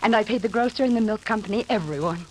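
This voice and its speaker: An actress playing a trusting, anxious, affectionate wife in an emotional, hesitant 1940s radio acting style. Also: female voice, medium pitch, quick pace, natural voice, poor audio. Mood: tender, hopeful. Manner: emotional, hesitant, breaking off and repeating words. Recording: old radio recording, faint noise.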